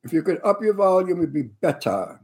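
A man's voice: sits high, big pitch swings, a little mellow, breathy edge. A man in his eighties hosting a radio talk show, chatty and prone to repeating himself. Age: 80s. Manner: chatty, repeats himself, quick-tempered asides. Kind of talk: casual talk show banter.

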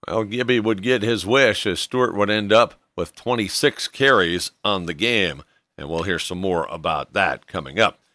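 A man's voice: low-pitched, normal speed, bright, forceful tone, no echo, studio quality. An American man hosting a sports podcast, narrating a scripted football recap in a broadcast style. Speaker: sports podcast host and radio play-by-play announcer.

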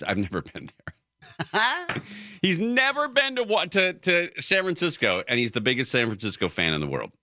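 Radio host, male, rambling anecdotal storyteller, lively delivery, dry close mic. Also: deep voice, no echo. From Southern California. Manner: rambling storyteller with many fillers and restarts. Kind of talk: casual conversation.

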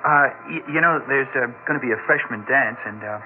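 A man's voice: medium pitch, lightly acted. A man playing a bashful, earnest teenage schoolboy with a hesitant manner in a 1960s radio drama. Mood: bashful, awkward. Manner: hesitant, halting. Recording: tinny 1960s radio recording, music behind.

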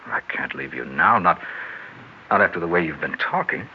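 Low-voiced, persuasive cheating husband. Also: male voice, moderate pace. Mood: reassuring, insistent. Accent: American. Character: unfaithful husband, weary, persuasive, coldly scheming. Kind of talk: 1960s radio drama acting. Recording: muffled, hissy 1960s radio recording.

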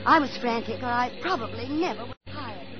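A woman playing a fretful, kindly, middle-aged landlady and former actress in a 1940s radio drama, theatrical and expressive. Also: a little high, brisk, natural voice. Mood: ominous recollection. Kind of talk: 1940s radio acting and first-person narration. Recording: muffled 1940s radio recording with music.

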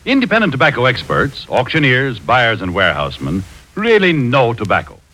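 A man's voice: medium pitch, wildly swooping pitch, brisk, bright, forceful tone, poor audio. A man announcing a commercial in scripted 1940s radio style. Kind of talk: 1940s radio cigarette ad read. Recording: old radio recording.

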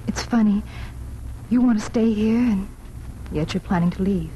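An actress playing a sincere, conflicted young schoolteacher in a 1940s radio drama. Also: female voice, mid-range voice, normal speed, warm tone, natural voice. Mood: pleading, troubled. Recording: noisy old 1940s radio recording.

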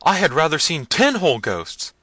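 A man acts out a terrified castle servant in a gothic storybook. The voice is pitched high and sharp, flustered and pleading as he rambles deferentially. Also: big pitch swings, normal speed, dry recording, clean studio sound. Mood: terrified, babbling. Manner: flustered, pleading, long-winded. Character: frightened, babbling, deferential male castle servant. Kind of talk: dramatised gothic novel reading.

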